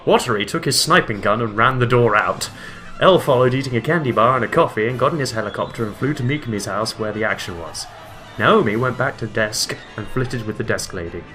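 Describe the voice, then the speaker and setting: A man giving a comedic dramatic reading of a bad fan fiction, with loud voiced quotes. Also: mid-range voice, fairly quick, soft background music. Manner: reads deadpan, breaks into laughter, voices quotes loudly.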